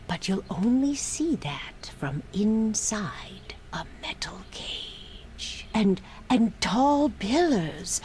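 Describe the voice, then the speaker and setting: A woman voicing a cryptic, eerie seer in a video game, drifting into breathy whispers as she delivers prophecy. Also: mid-range voice, dark tone, a little hiss or hum. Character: cryptic, eerie female fortune-telling seer. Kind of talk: video game dialogue acting.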